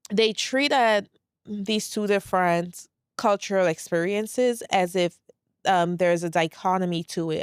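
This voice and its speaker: A woman's voice: lowish voice, clear, resonant tone, no echo, studio quality. A woman in her thirties from Saint Lucia and Brooklyn, speaking with a Brooklyn accent with a light Saint Lucian trace, hosting a casual solo podcast in a candid, laid-back way with plenty of "like" and some swearing. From Saint Lucia, raised in Brooklyn, New York. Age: 30s. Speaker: podcast host.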